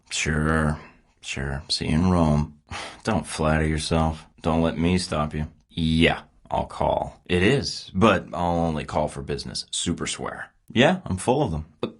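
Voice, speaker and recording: A cocky secret agent, deep and husky. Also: male voice, full character voice. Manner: dry sarcastic quips. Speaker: video game protagonist voice. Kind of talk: game voice lines.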